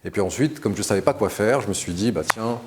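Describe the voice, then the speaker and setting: A man in his forties who grew up in Morocco, telling the story of his studies and career in a measured, articulate way, with frequent hesitations. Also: fairly low pitch, animated, noisy background. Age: 40s. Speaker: interview guest. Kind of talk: recounting his career to camera.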